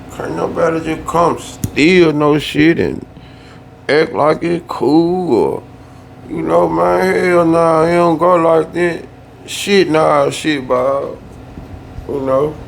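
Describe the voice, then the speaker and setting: Male vlogger, gravelly voice, loose slangy rant, echoey room with faint hum. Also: fairly high pitch. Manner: loose, slangy, repeats himself for emphasis. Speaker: YouTube storyteller. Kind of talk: casual ranting vlog.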